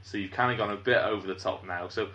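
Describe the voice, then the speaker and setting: Dry mock-formal podcast host. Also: male voice, on the low side, steady tone, quick pace, pushed and bright. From North Wales. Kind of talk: casual comedic chat.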